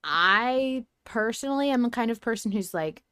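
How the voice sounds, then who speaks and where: A woman's voice: a little high, lively intonation, moderate pace, pushed and bright, clear, resonant tone, no room sound, clean studio sound. A woman hosting a podcast, talking through ideas in a casual, informal and emphatic way. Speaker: podcast host. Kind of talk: casual fan discussion podcast.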